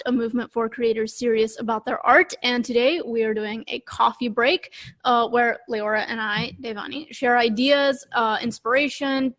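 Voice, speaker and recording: A young woman with a somewhat high-pitched voice speaks as a podcast co-host. She talks in a casual, conversational way, as if chatting through ideas with a partner. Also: a bit bright.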